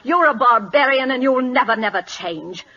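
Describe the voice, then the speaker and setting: Earnest schoolteacher, outspoken, precise emotional diction, 1940s radio drama, dull lo-fi recording. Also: female voice, sits high, animated, moderate pace, sharp tone, full character voice. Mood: impassioned, indignant. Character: earnest, outspoken Western schoolteacher woman at a royal court.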